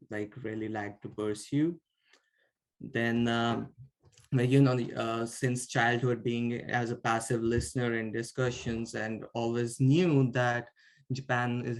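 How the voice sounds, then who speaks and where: A young man from India, a bachelor's student, giving an informal talk, with frequent "like" fillers and restarts. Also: mid-range voice. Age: young adult. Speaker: student speaker. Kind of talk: informal presentation.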